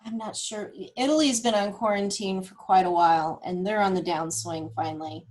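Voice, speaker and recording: A woman giving an informal walkthrough of data, thinking aloud as she goes in a halting way. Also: mid-range voice, a little mellow, rough recording. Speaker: guest presenter explaining data; cancer research nurse.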